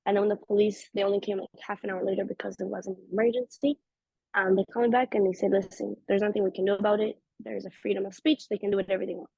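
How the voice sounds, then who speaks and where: Israeli woman talking fast, raspy gravelly voice, doing little voices for people in her story, dry dull audio. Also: mid-range voice, no room sound. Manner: fast, animated, acts out quoted voices. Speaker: interview guest. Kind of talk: casual personal storytelling. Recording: dull lo-fi recording.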